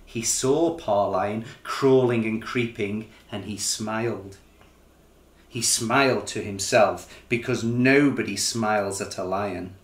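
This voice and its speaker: A middle-aged man reading a children's picture book in an expressive, measured, dramatic storytelling style. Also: on the low side. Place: small living room, indoors. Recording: roomy living-room sound.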